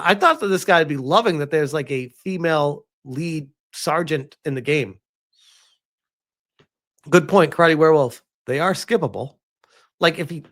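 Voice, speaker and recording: A man's voice: fairly high pitch, fairly bright tone. A man streaming casual commentary, reacting to what he reads and chatting with viewers in an exasperated, sarcastic way, prone to chuckling and swearing. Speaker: streamer reading and reacting to a game review with live chat.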